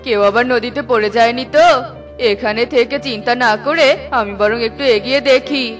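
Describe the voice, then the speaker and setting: A woman voicing a kindly, hardworking male bird who works as a boatman, speaking gently. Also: fairly high pitch, brisk, over music, lightly acted. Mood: curious.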